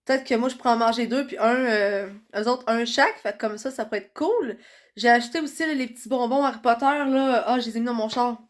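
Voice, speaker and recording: A young woman with a Quebec French accent, chatting excitedly in a casual vlog while congested from a cold. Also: fairly high pitch, a little fast. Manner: chatty and excitable, many fillers. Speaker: vlogger.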